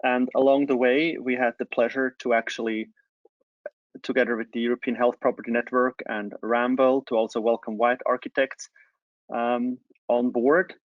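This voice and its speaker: A man's voice: medium pitch, level tone, normal speed, no room sound. Man presenting, dull dry audio. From Switzerland. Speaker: change management consultant, webinar presenter. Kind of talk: webinar presentation. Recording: dull, lo-fi online call audio.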